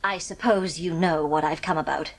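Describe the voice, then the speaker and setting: A woman's voice: low-pitched, fairly even intonation, normal speed, low-quality recording. An actress playing a stern, no-nonsense older farm woman in a dramatised audiobook, curt and matter-of-fact. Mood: resigned.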